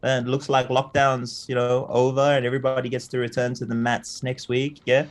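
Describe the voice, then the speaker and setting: A man's voice: mid-range voice, monotone, normal speed. A man in his thirties, raised in Fiji, telling stories as an interview guest, tagging lines with "yeah?" and "right?". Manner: chatty, keeps adding "yeah?" and "right?". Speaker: interview guest, jiu-jitsu coach. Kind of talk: casual storytelling interview.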